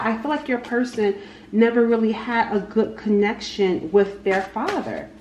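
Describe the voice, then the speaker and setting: A woman giving a casual, unscripted tarot reading, speaking haltingly and repeating her phrases as she goes. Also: on the high side. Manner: halting, repeats phrases, talks to spirit and cards. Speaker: tarot reader, channel host. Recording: echoey room with faint background noise.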